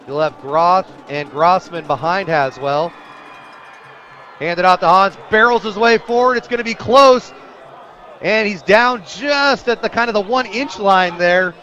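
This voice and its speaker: An American man calling live high school football as a radio play-by-play announcer, getting excitable on big plays and rooting for the home team. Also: a little slow, dull audio, some chatter behind.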